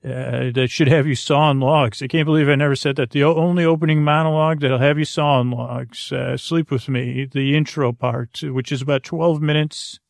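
Creaky guy doing a sleepy podcast ramble, pretty flat pitch, soothing, keeps trailing off into tangents. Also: moderate pace, few pauses, no room sound, studio quality. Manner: meandering, hesitant, full of tangents and trailing restarts; soothing, lulling. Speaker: podcast host. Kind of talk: rambling bedtime monologue to lull listeners to sleep.

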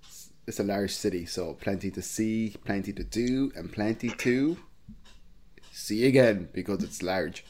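An Irish man co-hosting a podcast, talking quickly and laughing easily. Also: lowish voice, darkish tone, slight background noise. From Ireland. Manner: fast talker, laughs often. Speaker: podcast co-host, tattooist.

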